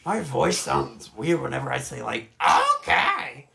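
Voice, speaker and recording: Man voicing a very creaky, gravelly alien sidekick. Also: medium pitch, lively intonation, a little slow, pushed and bright, heavily acted. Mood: casual. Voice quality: gravelly, strained. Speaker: amateur fan-dub voice actor. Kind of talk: loose improvised game fandub.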